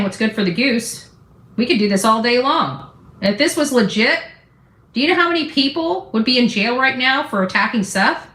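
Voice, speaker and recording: A woman with a fairly high voice rants as an online commentary host. She is blunt and defiant, speaking in informal, salty slang. The recording is noticeably echoey and roomy. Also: fairly bright tone. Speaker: YouTube true-crime commentary host. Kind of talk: opinionated live commentary, informal ranting.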